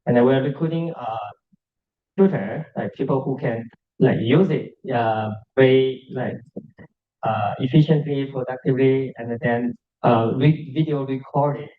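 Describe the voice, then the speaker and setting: Founder guy doing a quick pitch at a meetup, lots of uh and like, kinda dull muffled audio. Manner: hesitant, full of uh and like fillers. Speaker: startup founder making an announcement. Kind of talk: casual spontaneous announcement.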